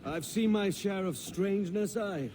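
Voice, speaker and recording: An actor playing a cocky, roguish young pirate in a video game cutscene, talking in a wry, bold, informal sailor's way. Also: male voice, sits high, measured pace, mellow, a little hiss or hum. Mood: smooth, wry.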